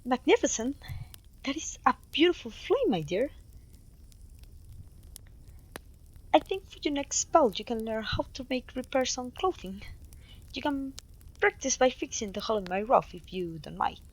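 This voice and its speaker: High-voiced, kindly witch teacher, acted by a woman. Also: animated, moderate pace, breathy edge, rough recording. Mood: delighted, encouraging. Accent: Mexican. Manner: theatrical, genteel, encouraging. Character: clumsy, kindly, cheerful young witch teacher. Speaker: voice actor. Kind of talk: ASMR roleplay acting.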